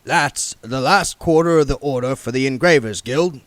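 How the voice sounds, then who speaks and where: A man voicing a gruff, shrewd, wealthy businessman who speaks bluntly with a menacing, folksy edge. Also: mid-range voice, moderate pace, no echo, voice pushed up, close to an everyday voice. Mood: theatrical.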